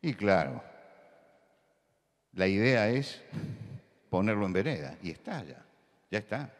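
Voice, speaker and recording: A man from Buenos Aires with a Rioplatense accent, preaching a sermon full of anecdotes, who repeats key words and rises to loud insistence. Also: fairly low pitch, sing-song, takes it slowly, in a large hall. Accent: Rioplatense Spanish. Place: church hall.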